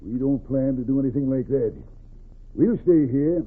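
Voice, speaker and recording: An actor playing a defiant, husky-voiced frontier settler speaking up for his fellows in a 1940s radio drama, on a muffled recording. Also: mid-range voice, moderate pace, lightly acted. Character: defiant frontier settler, spokesman for disgruntled workers.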